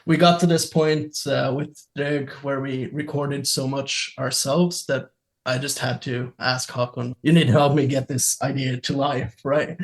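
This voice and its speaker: A man's voice: medium pitch, fairly even intonation, unhurried. A man, a musician guest on a podcast, speaking in English. He talks reflectively and rambles, using many fillers. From Norway. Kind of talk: casual interview chat.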